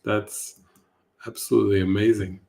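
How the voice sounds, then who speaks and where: A man speaking as a spiritual teacher in a devotional setting, with a measured and earnest delivery. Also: on the low side, flat delivery, creaky, mellow. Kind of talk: devotional reading and informal spiritual talk.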